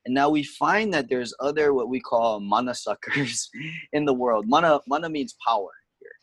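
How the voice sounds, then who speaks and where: A man's voice: mid-range voice, normal speed, a bit bright, slightly rough, no echo. A man from Hawaii, a touring musician, talking casually and patiently explaining words. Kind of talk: casual conversation. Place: home, during lockdown.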